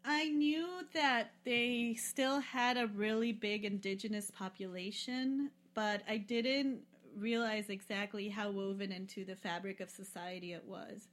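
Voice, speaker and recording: A woman's voice: mid-range voice, steady tone, a little slow, few pauses. A woman chatting casually as a podcast guest, loose and rambling, with many fillers and frequent laughs. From lives in Peru. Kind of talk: casual travel chat.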